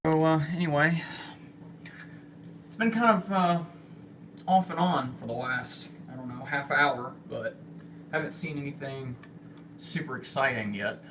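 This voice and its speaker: A man chatting casually to livestream viewers as an amateur storm spotter, speaking haltingly with trailing pauses, on phone audio. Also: medium pitch, fairly bright tone, slight background noise. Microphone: phone, held or propped nearby.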